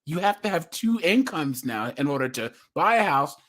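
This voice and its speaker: A man's voice: on the high side, big pitch swings, normal speed, a bit bright. An American man arguing his points emphatically in a casual live online group discussion. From United States. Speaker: live stream participant.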